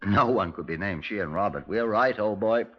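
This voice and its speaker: Casting: British detective inspector, dry and authoritative, very varied intonation, dull vintage radio crime recording. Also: male voice, on the low side, animated, normal speed. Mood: brisk, curious. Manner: clipped, polite, dryly authoritative. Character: dry, firm male Scotland Yard police inspector. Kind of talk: 1950s radio crime drama acting. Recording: muffled 1950s radio recording.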